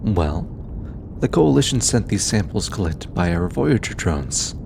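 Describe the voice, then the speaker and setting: Man as gentle low-voiced alien scientist, unhurried. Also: creaky, heavily acted. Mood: earnest, explanatory. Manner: hesitant, softly stammering, bookish. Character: gentle, earnest, easily flustered alien male scientist. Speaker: voice actor. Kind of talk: intimate roleplay audio drama. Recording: steady low rumbling background noise.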